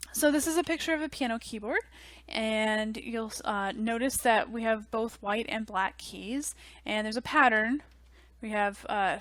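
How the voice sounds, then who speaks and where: A young woman teaching music theory in a relaxed, chatty way, full of ums and likes, on a headset mic in a small office. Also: on the high side, dry recording. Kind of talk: casual online lecture.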